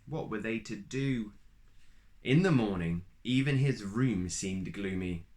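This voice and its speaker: A man reading a children's storybook aloud, animated, with asides to the listener. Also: on the low side, takes it slowly.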